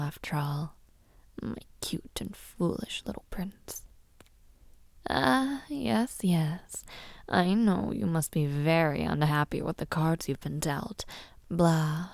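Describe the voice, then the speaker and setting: A woman playing a haughty young villainess princess in an audio roleplay, mockingly sweet and menacing, teasing and condescending in a breathy near-whisper. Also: low-pitched, no echo, studio quality, exaggerated voice. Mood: condescending, playful. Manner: slow, teasing, condescending, with soft taunts. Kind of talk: audio roleplay addressed to the listener.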